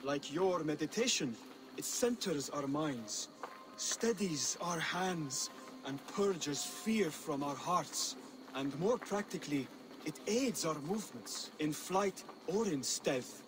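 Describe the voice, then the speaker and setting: An earnest young game assassin teacher, highish male voice, measured and formal, noisy outdoor background. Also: lively intonation. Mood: calm, teaching. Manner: measured, instructive, formal phrasing. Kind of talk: video game cutscene acting.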